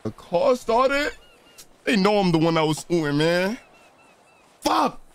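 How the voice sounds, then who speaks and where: A man's voice: high voice, very animated, slow pace, dry recording. A man live streaming a roleplay game, talking in profane street slang and repeating his phrases. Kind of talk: excited in-character gaming chatter in street slang.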